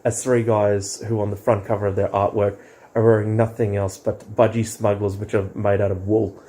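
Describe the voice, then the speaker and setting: A man's voice: lowish voice, little pitch movement, normal speed, dark tone. A man co-hosting a casual chat about music and films, often hesitant and searching for words. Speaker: co-host reviewing music and films.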